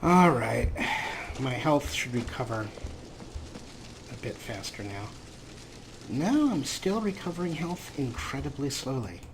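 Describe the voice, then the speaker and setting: A man's voice: mid-range voice, lively intonation, measured pace, long pauses, background noise. A man giving casual, self-deprecating gameplay commentary as a let's play host.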